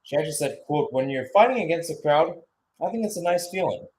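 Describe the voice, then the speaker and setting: A man's voice: fairly high pitch, expressive, fast, darkish tone. A man doing live radio-style soccer commentary as the play-by-play announcer, narrating in short clipped phrases.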